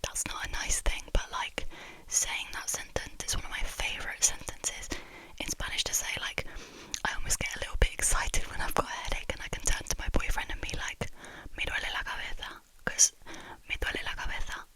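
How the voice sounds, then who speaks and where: Young woman whispering ASMR trigger words. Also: low-quality recording. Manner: soft, slow whisper that repeats words many times; giggly and chatty between trigger words. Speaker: ASMR creator. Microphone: close-up microphone.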